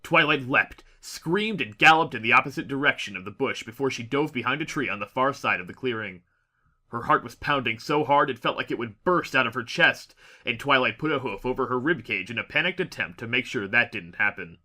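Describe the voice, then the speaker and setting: Bright, forceful male story narrator. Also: medium pitch, lively intonation, fairly quick. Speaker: audiobook narrator. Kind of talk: dramatic scripted story narration.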